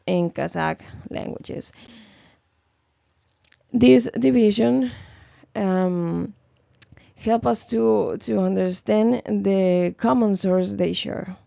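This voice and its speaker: Young woman presenting for class while sick, croaky voice, slow and halting, muffled dry mic. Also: mid-range voice, mellow, no echo. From Ecuador. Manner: hesitant, many fillers and restarts. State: sick, slightly hoarse. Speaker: student presenter. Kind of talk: class presentation, read from notes.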